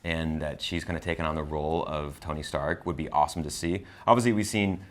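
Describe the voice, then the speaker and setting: A man's voice: a bass voice, fairly even intonation, fast talker. A man co-hosting a film review in casual chat, enthusiastic and emphatic. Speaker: co-host of a film review show.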